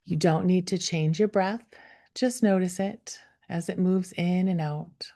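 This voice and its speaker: A woman leading a guided meditation, speaking gently and without hurry, with soft, breathy phrase endings that fall toward a whisper. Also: lowish voice, fairly even intonation. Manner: gentle, unhurried, with long pauses. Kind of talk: guided meditation, slow soothing instruction.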